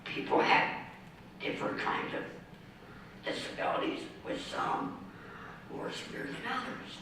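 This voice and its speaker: Man in his thirties speaking in a raspy near-whisper, slow and methodical, bright edge, faint noise under it. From Ohio, US. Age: 30s. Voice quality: raspy, mostly unvoiced whispery voice from vocal cord damage. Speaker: award speaker, ordained minister. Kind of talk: reading a prepared personal speech. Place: community center hall. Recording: echoey hall from far off, faint noise.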